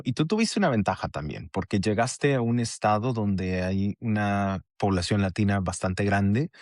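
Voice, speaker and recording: A man's voice: lowish voice, a little mellow, no echo, studio quality. A man hosting a friendly podcast interview, talking in a reflective, conversational way and often giving short affirmations. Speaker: podcast host. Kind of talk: casual interview chat.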